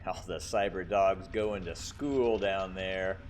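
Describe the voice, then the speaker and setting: A man's voice: low voice, takes it slowly. A man giving casual commentary as he plays a video game. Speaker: gamer, let's play host. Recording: faint game noise behind. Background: video game sounds.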